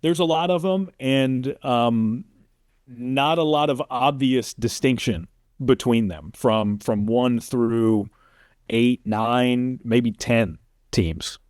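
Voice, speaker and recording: Casting: middle-aged American male, medium-pitched, relaxed conversational interviewee, dry studio-like sound. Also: slow, no echo. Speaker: interview guest, sports play-by-play announcer. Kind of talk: casual interview talk.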